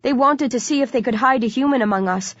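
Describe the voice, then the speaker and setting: A woman's voice: a little high, fast, no echo, muffled recording, voice pushed up, lightly acted. A woman voicing a desperate, pleading teenage zombie in an audiobook, urgent and impassioned. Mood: frantic babbling. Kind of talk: audiobook character dialogue.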